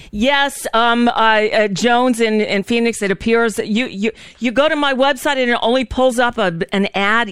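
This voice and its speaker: A woman's voice: mid-range voice, a bit bright, clean tone, dry recording, clean studio sound. A woman hosting a talk show, rambling through sardonic political commentary with frequent restarts. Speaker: host. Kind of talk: casual political talk monologue. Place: living in Florida.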